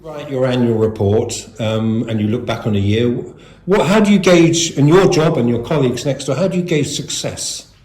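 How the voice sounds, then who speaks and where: A man's voice: medium pitch, normal speed, a little mellow. A man asking informal, discursive interview questions. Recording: echoey room.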